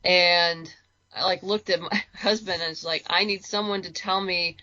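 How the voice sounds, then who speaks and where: A woman's voice: fairly low pitch, steady tone, moderate pace, pushed and bright, no echo, dull audio. A woman chatting casually as a podcast guest, talking in a rambling, conversational way and repeating words for emphasis. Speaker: guest, comics and audio drama creator.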